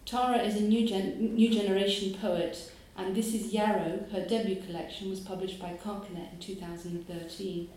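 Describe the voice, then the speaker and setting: A woman host giving a measured, formal prepared introduction of a poet at a live event. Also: medium pitch, flat delivery, dark tone. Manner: measured and formal, reading from notes. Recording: very echoey room.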